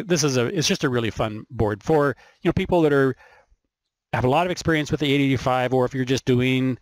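A man giving an informal, unscripted hobbyist explanation, thinking aloud in a halting way as he goes. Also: medium pitch, no room sound. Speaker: electronics hobbyist presenter. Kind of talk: informal show-and-tell explanation of circuit boards.

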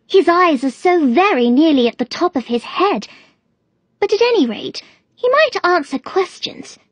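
A polite, earnest storybook little girl, acted by a man, with an extremely high voice that swoops up and down. She sounds plaintive and easily exasperated, recorded dry. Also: big pitch swings, a little slow, fairly bright tone, no echo, full character voice. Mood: puzzled. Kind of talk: children's storybook character voice.

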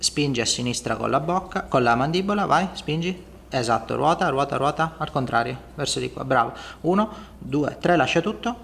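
A man's voice: mid-range voice, lively intonation, normal speed, creaky, fairly bright tone. A middle-aged man working as a chiropractor, explaining and instructing a patient in an informal, reassuring way with frequent check-in questions. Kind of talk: explaining and instructing a patient during a treatment session. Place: indoor treatment room in a home-like studio. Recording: echoey room, some background noise.

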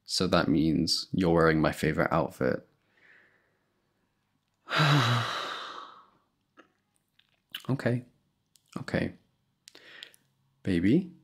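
A man's voice: low-pitched, a bit bright, breathy edge. A young man in a boyfriend roleplay, talking to a girlfriend in a playful, laughing, affectionate way, close to the mic.

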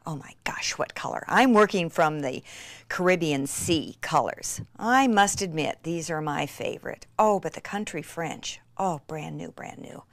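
Excitable woman demonstrating crafts, swooping pitch. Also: a little slow, no room sound. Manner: gushing, easily excited, breathy exclamations. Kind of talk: hands-on craft tutorial with excited asides.

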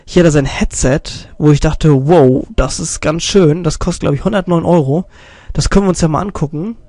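A man's voice: fairly high pitch, fairly quick, mellow, no echo, clean studio sound. A young man with a slight cold reviewing a channel from his computer, rambling and correcting himself, recorded on a desk stand microphone. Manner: rambling, self-correcting, many fillers. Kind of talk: casual screen-recorded commentary.

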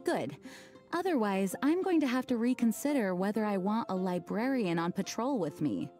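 Woman doing calm stern knight lady, warm mellow tone, game music faint. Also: medium pitch, lightly acted. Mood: firm. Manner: firm, measured, patient. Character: composed woman knight leader, stern and responsible. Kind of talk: game voice acting.

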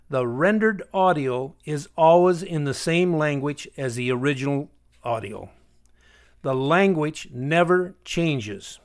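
A man with a slightly high voice presents a software tutorial. He explains each step in a measured, instructional way, as screencast narration.

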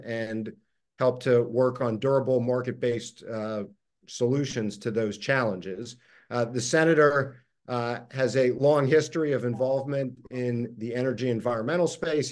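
Middle-aged guy hosting a video call chat, lots of uhs and restarts, normal pitch. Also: darkish tone. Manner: halting, many fillers and restarts. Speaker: host, organization president.

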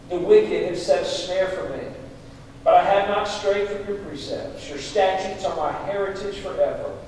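A middle-aged man, a pastor, reading scripture and praying aloud in a reverent, measured way with pauses between phrases. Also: on the high side, darkish tone. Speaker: senior pastor. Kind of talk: scripture reading and public prayer. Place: large church sanctuary, at the pulpit. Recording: echoey church hall, distant, faint noise.

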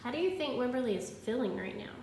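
A woman with a high, very animated voice talks to young children like a teacher at storytime. She is expressive and clearly instructive. The room adds noticeable echo around her. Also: fast talker. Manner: expressive, instructive, prompting listeners with questions. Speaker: primary school teacher. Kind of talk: picture-book read-aloud for young children. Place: indoors in a room at home. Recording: roomy echo, faint background noise.

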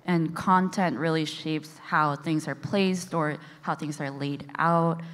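A woman's voice: deep voice, fairly even intonation, a bit bright, slight background noise. A woman whose first language is Korean, speaking thoughtfully on a conference panel with a handheld mic. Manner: thoughtful, measured, with fillers. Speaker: product designer on a panel. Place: conference stage in a large hall. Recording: long reverb of a large hall.

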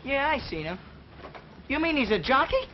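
A wisecracking New street kid. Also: male voice, very high voice, very animated, moderate pace. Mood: sarcastic. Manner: sarcastic, rough slang. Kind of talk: 1940s film acting. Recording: dull old film soundtrack with hiss.

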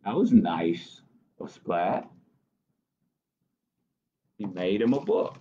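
A man in his thirties reads a picture book to children in a fairly low voice that swings widely in pitch. He is encouraging and stops to chat with his young listeners. The webcam audio is slightly dull. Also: big pitch swings, drawn-out words, mellow. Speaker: children's librarian, storytime host. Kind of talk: reading a picture book aloud to children with asides. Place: small office, indoors.

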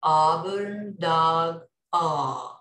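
Woman, Bosnian native speaker, hesitant careful repetition, roomy echo, very slow. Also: low voice, fairly bright tone. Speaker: learner repeating drills. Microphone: webcam on a video call. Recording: echoey room on a video call.

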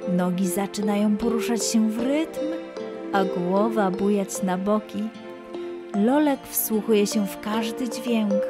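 A woman narrating a scripted children's story in an expressive, deliberate manner. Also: medium pitch, over music.

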